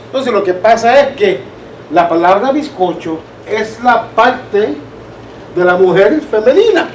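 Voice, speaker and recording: A man with a Caribbean Spanish accent and a high voice teaches a Bible class in a conversational way. He explains through anecdotes and checks that people understand. He is picked up from a distance in an echoey room with some background noise. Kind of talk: informal church teaching with anecdotes. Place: church room.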